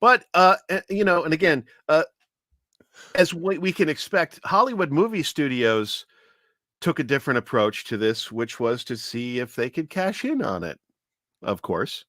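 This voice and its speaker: A man giving an informal, explanatory history talk with anecdotes, speaking in a measured, hesitant way as a commentator. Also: a little high, sing-song, pushed and bright, dry recording, clean studio sound.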